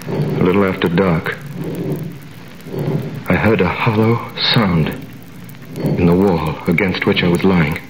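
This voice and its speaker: A man's voice: sits low, steady tone, slow pace, long pauses. An actor playing a young male prisoner who tells his own story in a 1938 radio drama, intense and dramatic. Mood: brooding. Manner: intense, dramatic first-person telling. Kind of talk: 1930s radio drama narration and acting. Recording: old 1930s radio recording, roomy with faint hiss.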